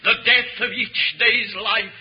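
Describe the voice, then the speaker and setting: A man's voice: sits high, fairly even intonation, slow, husky, exaggerated voice. An actor playing a guilt-ridden, ambitious nobleman in 1940s radio Shakespeare, with a grave, declamatory, theatrical manner. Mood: anguished. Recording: dull lo-fi 1940s radio recording.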